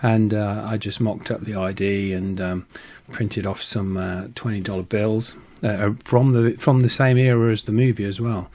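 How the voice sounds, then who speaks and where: A man's voice: fairly low pitch, flat delivery, normal speed, few pauses, a little mellow. A middle-aged man who hosts a channel, casually narrating a hobby prop build. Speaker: host of a prop-making channel.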